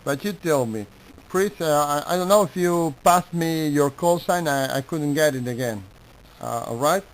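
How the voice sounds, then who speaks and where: A man with an Argentine Spanish accent chatting on ham radio in polite, halting non-native English. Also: a little high, slight background noise, poor audio. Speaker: amateur radio operator. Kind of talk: casual ham radio chat.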